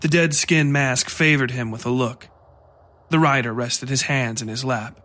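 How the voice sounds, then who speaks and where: A man's voice: mid-range voice, steady tone, measured pace, bright, forceful tone. A man reading scripted horror fiction as narrator, with music playing under his voice.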